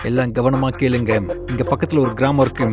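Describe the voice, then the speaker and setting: A man voicing a brave boy student who gives urgent instructions to his friends in a cartoon, over loud music. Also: mid-range voice, little pitch movement, fast talker, voice pushed down, natural voice.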